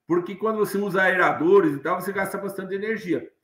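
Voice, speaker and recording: A man's voice: high voice, a little fast, fairly bright tone. A middle-aged man giving an online lecture from his study, rambling with many fillers and restarts. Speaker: guest lecturer. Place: home study with bookshelves. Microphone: webcam on video call. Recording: echoey room on video call.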